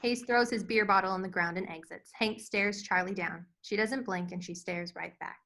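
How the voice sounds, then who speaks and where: Female narrator for script directions, bright, crisp edge with noticeable creak. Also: mid-range voice, fairly even intonation, normal speed. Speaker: stage directions reader.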